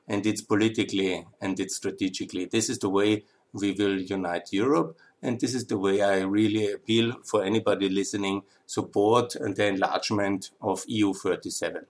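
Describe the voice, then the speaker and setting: A man with a low voice and an Austrian accent, German being his first tongue. He talks in an earnest, pleading monologue and presses his points through repetition, the way a podcast host appeals to listeners. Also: fairly even intonation, a little fast. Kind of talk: impassioned political monologue.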